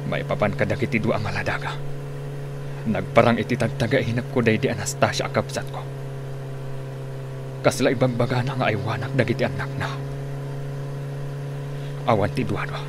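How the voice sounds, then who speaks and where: Actor as a brooding man over loud hum. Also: fairly low pitch, steady tone, moderate pace, long pauses, natural voice. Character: brooding, haunted man in troubled soliloquy. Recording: loud engine-like hum behind.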